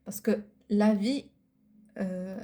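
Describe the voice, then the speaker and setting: A woman whose first language is Arabic, co-hosting a casual podcast and often pausing to search for words. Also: medium pitch, steady tone, mellow. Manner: hesitant, searching for words, many fillers. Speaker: podcast co-host. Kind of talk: casual chat.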